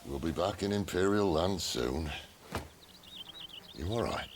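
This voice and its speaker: A man's voice: low-pitched, expressive, measured pace, slight background noise. An actor playing a roguish middle-aged male outlaw assassin with a dry, teasing manner. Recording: game cutscene audio with music.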